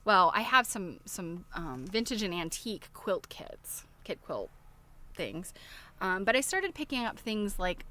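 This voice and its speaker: Female livestream host, bright mid-pitched voice, informal show-and-tell, rambling aloud to viewers. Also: big pitch swings, unhurried. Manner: chatty show-and-tell, asking viewers questions. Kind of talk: casual unboxing chat to livestream viewers.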